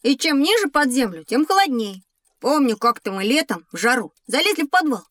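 Curious schoolboy for educational audio drama: high, boyish, lively, dry close sound. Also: female voice, brisk, no room sound, full character voice. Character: curious, eager, slightly argumentative schoolboy. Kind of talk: scripted educational audio play.

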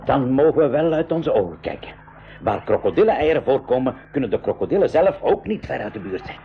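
A man's voice: mid-range voice, big pitch swings, a little fast, creaky. A man playing a practical, reassuring uncle and professor in a 1980s radio drama, explaining things matter-of-factly. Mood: intrigued, cautious. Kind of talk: 1980s school radio drama acting in Dutch. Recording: muffled 1980s radio with faint noise.

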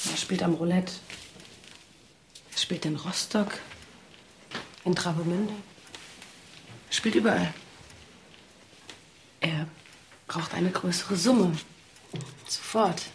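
An actress playing an upper-class sister in a radio drama, coaxing in short, halting, insistent phrases. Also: female voice, lowish voice, slight background noise. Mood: hesitant, urgent. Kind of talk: German radio drama acting.

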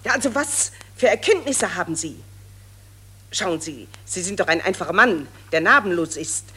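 An actress playing a pushy, gushing female war reporter in a radio play, pressing a soldier with insistent questions in a breathy voice. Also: on the low side, lively intonation, normal speed, sharp tone, full character voice.

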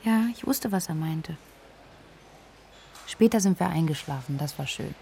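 An actress playing a young student in a radio play, recalling a drunken night of grief in a candid, dry, conversational way. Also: female voice, low-pitched, animated, a little slow, gravelly, heavily acted. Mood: subdued, wry. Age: young adult. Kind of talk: interview-like monologue in a radio play.

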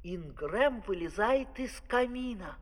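A man's voice: sits high, animated, takes it slowly. A man narrating the stage action of a children's opera as scripted storytelling. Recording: reverberant vintage vinyl record.

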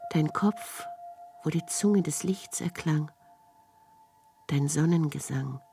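Casting: a tender, mystical female lover, very low register, radio-play poetry over clear music. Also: slow pace, mellow, heavily acted. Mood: tender, solemn. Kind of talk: poetic radio drama recitation. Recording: music behind the voice.

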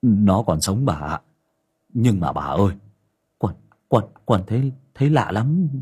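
A man's voice: lowish voice, lively intonation, measured pace, darkish tone, husky, full character voice. A man voicing a frightened young male servant who stammers and cries out. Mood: uneasy.

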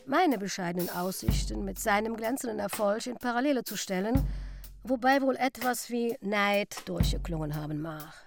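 A woman voicing a formal memoir narrator in a German radio play, measured and literary. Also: medium pitch, animated, vocal fry, music in the background. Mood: reflective.

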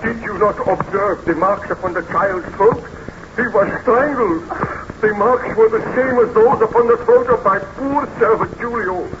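A man's voice: sits high, moderate pace, lightly acted. A man playing a tormented aristocratic baron in a 1930s radio serial, with formal, grave melodrama. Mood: agitated. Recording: dull, noisy vintage radio recording.

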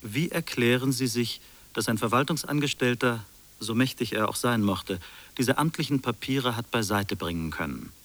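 Radio drama interrogator, male actor: medium pitch, officious, probing, sceptical, works from files. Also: moderate pace, a bit bright, close to an everyday voice. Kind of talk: radio drama questioning, reading out notes.

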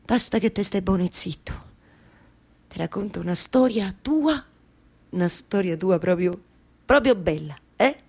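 A woman's voice: mid-range voice, lively intonation, a little slow, vocal fry, pauses often, a little mellow, slightly rough, heavily acted. A woman with a Roman Italian accent playing a weary, yearning wife who weaves stories, in an incantatory theatrical monologue. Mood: coaxing. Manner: incantatory repetition, shifting from murmur to insistence. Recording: live music behind the voice.

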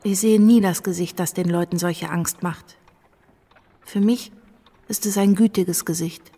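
An actress playing a reflective woman recalling revolutionary times in a radio play, in measured, sober first-person narration. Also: fairly low pitch, fairly even intonation, warm tone. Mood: pensive.

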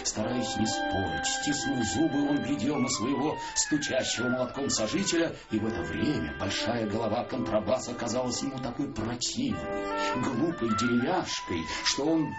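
Male actor as wry storyteller, lively intonation, scripted literary narration, loud music behind, radio. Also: medium pitch, moderate pace, lo-fi sound, lightly acted.

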